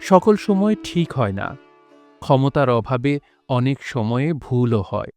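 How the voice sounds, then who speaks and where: A man narrating a literary story in a formal, measured, solemn style. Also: medium pitch, sing-song, darkish tone, faint music behind. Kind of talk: scripted literary narration in old formal Bengali.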